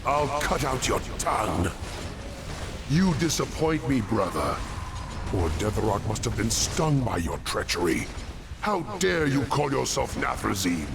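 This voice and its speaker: An actor playing a proud, scheming demon dreadlord in a game cinematic, gravelly, theatrical and menacing. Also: male voice, mid-range voice, sing-song, measured pace, background noise. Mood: menacing, indignant. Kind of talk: video game cinematic acting. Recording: clear music behind dialogue.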